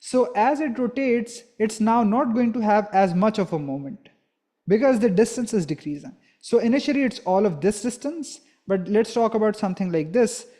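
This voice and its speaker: A man's voice: sits high, lively intonation, moderate pace, mellow. A young man giving a physics lesson, explaining step by step and often checking in with a "right?". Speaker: physics teacher.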